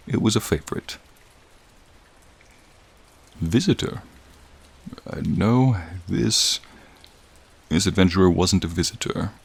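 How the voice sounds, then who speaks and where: Deep and unhurried, this is a friendly, centuries-old dragon played by a man in a soothing ASMR roleplay. The voice is low and gravelly, with long, slow phrasing. It speaks with gentle formality and a wistful, lonely temper. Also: heavily acted. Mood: warm, curious. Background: rain and raindrops.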